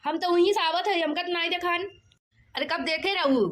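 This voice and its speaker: Scolding cartoon auntie played by a woman: very high, sharp voice, Awadhi accent, bossy. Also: moderate pace. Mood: fretful. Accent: Awadhi, eastern Uttar Pradesh. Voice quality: shrill, piercing. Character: scolding, bossy village auntie hunting her stolen goat. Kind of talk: cartoon dialogue in rustic dialect.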